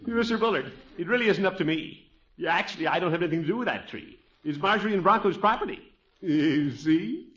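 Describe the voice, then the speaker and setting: Blustery flustered uncle, old radio sitcom, highish voice, muffled noisy sound. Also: male voice, expressive, fairly quick, fairly bright tone. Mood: flustered pleading. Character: blustering, flustered middle-aged uncle, evasive and wheedling. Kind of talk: 1950s radio situation comedy acting.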